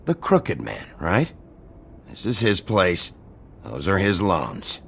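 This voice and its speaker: An actor playing a gruff, stern, weary sheriff of fairy-tale folk in a video game, terse and blunt with a gravelly voice. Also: male voice, low-pitched, huge pitch swings, slow pace, fairly bright tone, heavily acted. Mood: firm, questioning.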